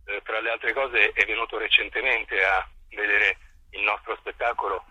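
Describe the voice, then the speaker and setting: Male interviewee, theatre director type: low register, very sharp bright edge, wordy and formal, searching for the right word, dry radio-interview sound. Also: fairly even intonation, slightly rough, no echo, muffled recording. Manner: articulate, deliberate, formal and wordy, searching for words. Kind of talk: reflective interview answers.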